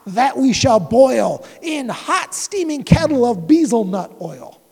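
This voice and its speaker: A woman's voice: a little high, big pitch swings, slow pace, creaky, warm tone, husky, full character voice. A woman voicing a big, bossy female kangaroo who snaps with scorn. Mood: threatening. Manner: scornful, snapping. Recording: echoey room with long reverb.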